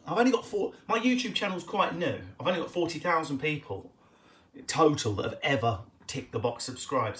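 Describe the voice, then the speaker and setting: An Essex man doing improvised stand-up on a home live stream, answering viewers as he goes. He talks fast and cheekily, jumping from loud bursts to half-muttered asides, and his pitch sits in an ordinary middle range. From England. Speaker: comedian hosting a live Q&A stream. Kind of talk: casual improvised stand-up chat to camera. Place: at home, indoors.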